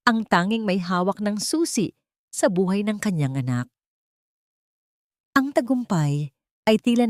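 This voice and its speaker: A woman narrates a scripted drama in a fairly low voice. The sound is dry, with no room around it, as in an audiobook. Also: darkish tone, no room sound.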